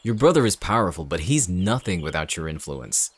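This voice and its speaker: An actor playing a cold, calculating villain in an audio drama, speaking in a measured, smug, menacing way. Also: male voice, low-pitched, sing-song, breathy edge, dry recording, heavily acted. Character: cold, calculating male villain leading an anti-shifter operation. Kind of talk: dramatic audio roleplay acting.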